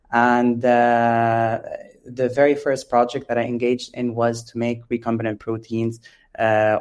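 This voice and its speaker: A man from Lebanon with a Lebanese accent, explaining things as an interview guest, halting often with drawn-out ums. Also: mid-range voice. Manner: hesitant, halting, with frequent long ums. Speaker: interview guest, biotech company founder.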